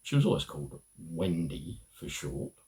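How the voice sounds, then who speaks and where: A middle-aged man reading a children's storybook aloud, with expressive, deliberate phrasing and pauses. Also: rumbling low. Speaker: amateur reader.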